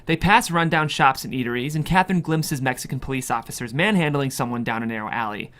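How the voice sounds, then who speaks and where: A narrator reading screenplay stage directions in an expressive, measured way at a table read. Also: medium pitch.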